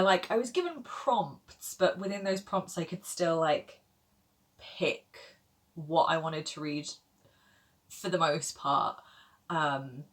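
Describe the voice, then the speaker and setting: Low-voiced chatty woman in her thirties. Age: 30s. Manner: chatty, rambling, frequent fillers and self-deprecating laughs. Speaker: book vlogger. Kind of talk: casual chat to camera.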